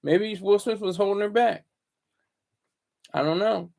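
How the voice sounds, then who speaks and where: A man hosts a casual livestream from home, talking in a deliberately hushed, lowered voice. His tone is gravelly with a slightly raised pitch for a man, and he often stumbles and repeats words as he chats with viewers. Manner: deliberately low, hushed delivery. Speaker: livestream host. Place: home, room indoors.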